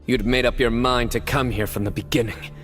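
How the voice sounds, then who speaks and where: A man's voice: medium pitch, steady tone, brisk, fairly bright tone, soft background music, close to an everyday voice. An actor playing a composed, direct and perceptive younger man in a video game. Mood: probing.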